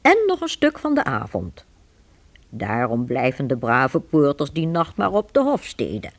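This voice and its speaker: A woman's voice: rumbling low, wildly swooping pitch, measured pace, no echo. A woman reading scripted storytelling narration for a radio play.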